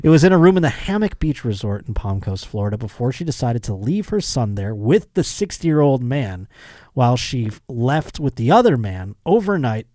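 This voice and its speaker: A man hosts a casual comedy podcast at a mid-range pitch. He argues in a joking, mock-earnest way and reads items out conversationally. The sound is dry, with very little room. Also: very animated, moderate pace, warm tone, dry recording. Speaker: podcast host. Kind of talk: casual comedic chat, reading out odd news.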